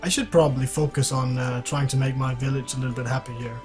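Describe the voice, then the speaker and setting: A man hosts a live game stream with casual, conversational commentary. His voice sits at a middle pitch, and music plays faintly underneath as he talks through his play. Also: steady tone, fast talker. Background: background music.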